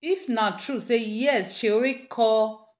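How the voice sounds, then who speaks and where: A woman's voice: fairly high pitch, a little slow. A woman with a Nigerian accent giving informal gossip commentary, addressing her listeners directly and emphatically. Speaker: gossip vlogger. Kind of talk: informal news commentary in Pidgin. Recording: roomy, echoing room sound.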